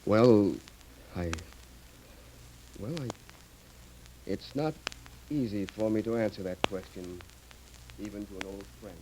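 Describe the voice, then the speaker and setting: An ailing old husband who hides his suffering in a radio drama. The voice is fairly low, weary, halting and subdued. The 1930s recording is clearly noisy. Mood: sad, hesitant.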